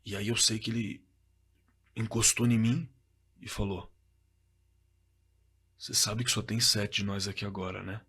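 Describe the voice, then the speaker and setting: A man with a Brazilian accent playing a shaken young man recounting a frightening night in a halting, colloquial way, in a horror audio drama. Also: fairly low pitch, level tone, fairly bright tone, slightly rough, full character voice. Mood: tense. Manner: halting, repeating words, colloquial. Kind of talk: dramatized first-person horror story, told conversationally.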